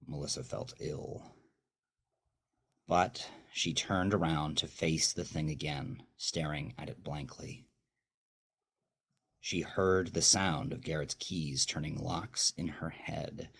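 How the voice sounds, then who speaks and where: A man narrating dark fiction as a horror story host, with slow, brooding, deliberate dramatic delivery and a very deep, husky voice. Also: slight background noise.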